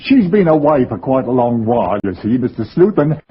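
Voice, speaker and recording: An actor playing a genial, deferential working-class father with a husky, rough voice in a 1940s radio drama, folksy and hesitant. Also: medium pitch, sing-song, brisk, a little hiss or hum, lightly acted. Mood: sheepish, apologetic. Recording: muffled 1940s radio recording.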